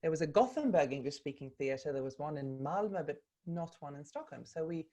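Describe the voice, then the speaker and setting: Woman, from Sweden, native Swedish speaker, low voice, interview guest, video call, thoughtful with restarts. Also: lively intonation, brisk, dark tone. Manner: thoughtful, with frequent ums and restarts. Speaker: actor and voice coach, interview guest. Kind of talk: relaxed conversational interview.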